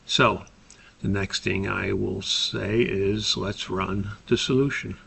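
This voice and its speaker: A man giving a methodical, step-by-step software tutorial as an instructor, explaining in a somewhat hesitant way. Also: lowish voice, steady tone, takes it slowly, vocal fry, breathy edge.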